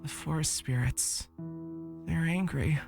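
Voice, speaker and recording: A woman voicing a serious, guarded young man who lives in the woods, speaking gravely and haltingly for a visual novel. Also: sits low, darkish tone, soft background music, pitched below the performer's own voice, full character voice.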